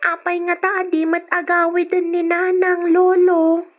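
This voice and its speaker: Radio drama role: pleading Ilocano teenage girl, thin piercing high voice, muffled lo-fi sound. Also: completely flat delivery, a little slow, soft background music. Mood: tearful. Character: distressed teenage daughter pleading with her mother. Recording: dull lo-fi radio drama recording.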